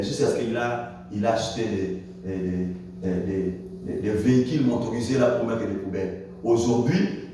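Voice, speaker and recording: A male pastor preaching a Sunday sermon in French, with dramatic swings in pitch and loudness and frequent calls of hallelujah. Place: church. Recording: echoey church hall, distant mic, faint noise.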